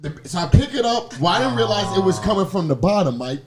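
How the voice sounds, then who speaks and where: A man's voice: high-pitched, sing-song, fast talker, loud music behind the voice. A man telling a comic story in casual, slangy conversation, acting out the exchanges as he goes. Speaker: podcast guest telling personal stories.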